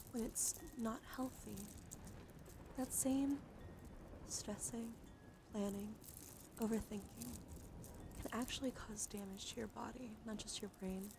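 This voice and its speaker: Gentle ASMR woman, often whispering, noisy background. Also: a little high. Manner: slow, halting short phrases, often dropping into whispers; gentle, reassuring. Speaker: live streamer, ASMR host. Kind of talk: ASMR roleplay, soothing bedtime monologue.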